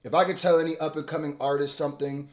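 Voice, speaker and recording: A young man, a rapper, talking casually as he reacts to a song, repeating words as he restarts and now and then bursting out loud. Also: a little high, steady tone, fast. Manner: loose and chatty, repeats words when restarting, sudden loud outbursts.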